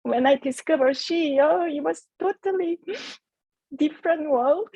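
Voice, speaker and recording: A woman whose first language is Korean, speaking heartfelt and halting on a video call about her business. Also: high-pitched, darkish tone, dry recording, highs cut off. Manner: heartfelt, halting, earnest encouragement. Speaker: entrepreneur sharing her story. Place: home in Adelaide.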